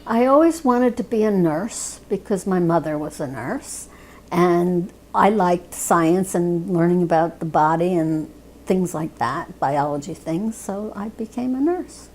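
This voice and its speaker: A woman's voice: fairly low pitch, expressive, a little slow, darkish tone, slight background noise, rough recording. A woman in her seventies, a nurse, answering interview questions plainly. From northern New Jersey. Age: 70s.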